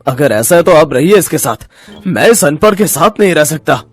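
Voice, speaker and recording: A man's voice: fairly high pitch, expressive, normal speed, soft background music, natural voice. A man voicing a proud, hot-tempered young husband with an emphatic manner in a cartoon story. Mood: furious, scornful. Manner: emphatic, scornful when crossed.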